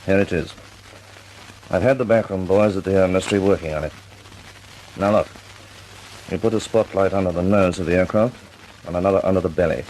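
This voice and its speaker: An actor playing an authoritative senior air force officer in an old radio drama, with a dry humor. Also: male voice, low voice, a little fast, long pauses, a little mellow, a little hiss or hum, close to an everyday voice, low-quality recording. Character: senior RAF air vice marshal, older man. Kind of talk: 1940s radio acting.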